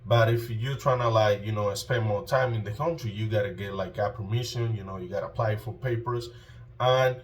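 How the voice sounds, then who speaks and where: Colombian guy youtuber chatting casually, kinda deep voice, slangy, says you know a lot, mic sounds a bit far. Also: fairly quick, a little hiss or hum. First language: Spanish. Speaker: YouTube host. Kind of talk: casual informal vlog talk.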